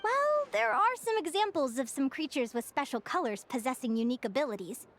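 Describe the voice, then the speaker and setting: A woman playing an earnest, gentle young female naturalist in a video game, explaining things articulately and with curiosity. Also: sits high, expressive, fairly quick. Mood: concerned. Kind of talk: scripted video game character dialogue.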